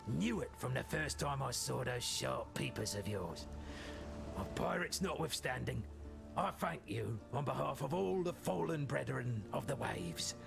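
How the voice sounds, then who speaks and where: An actor playing a roguish pirate leader in a video game, teasing and wry with flowery phrasing. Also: male voice, mid-range voice, expressive, a little slow, gravelly. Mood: amused, grateful. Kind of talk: video game character dialogue. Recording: music behind the voice.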